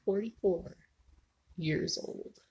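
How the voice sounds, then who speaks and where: A woman in her forties chatting casually to viewers while she draws, often sinking into a low whisper. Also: a little mellow, a little hiss or hum, poor audio. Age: 40s. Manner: slow, frequently drops into a whisper. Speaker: video creator. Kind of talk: casual livestream chat while drawing.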